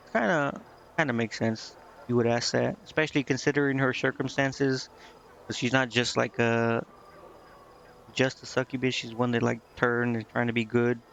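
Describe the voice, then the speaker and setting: A man giving casual, informal let's-play commentary as a game streamer, talking in a halting way. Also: mid-range voice, gravelly, a bit bright, slight background noise. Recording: dull lo-fi mic, music behind. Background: game music.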